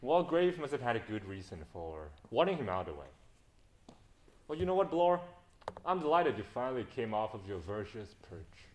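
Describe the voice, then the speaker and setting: An actor playing a cool, mocking, self-assured adventurer captain in a stage play, with a sardonic, taunting manner. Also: male voice, mid-range voice, sing-song, moderate pace, lightly acted. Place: stage performance.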